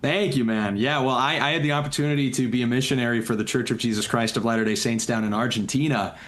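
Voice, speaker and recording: A man's voice: medium pitch, fairly even intonation, fast, a bit bright. A young man from the United States talking casually as a talk show guest, with an easygoing, jokey manner. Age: young adult. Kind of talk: casual interview chat.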